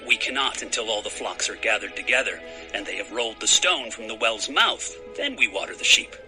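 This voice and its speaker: A man's voice: on the low side, animated, brisk, over music, close to an everyday voice. A man playing a plain-spoken shepherd in a dramatized scripture production. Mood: matter-of-fact.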